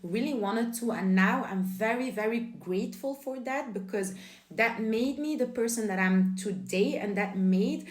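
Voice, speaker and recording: A woman's voice: on the high side, a little slow, echoey room. A young woman from Belgium whose first language is Dutch talks in English in a casual, giggly, apologetic chat to camera. She records on her phone. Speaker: vlogger.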